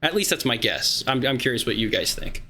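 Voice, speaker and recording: Male podcast host, bright forward tone, eager and curious, stammering restarts. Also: medium pitch, expressive, brisk. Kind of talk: casual podcast chat.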